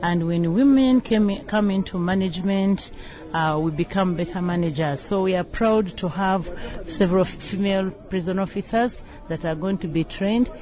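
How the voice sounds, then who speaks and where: A woman's voice: medium pitch, normal speed. Female management lecturer, Ugandan accent, formal spoken interview answers.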